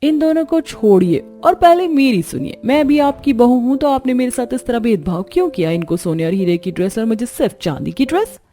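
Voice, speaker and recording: A woman's voice: a little high, expressive, fairly quick, few pauses, mellow, soft background music, natural voice. A woman voicing a discontented young daughter-in-law in a cartoon story, complaining in a plaintive way. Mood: jealous, indignant. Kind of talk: Hindi cartoon story dialogue.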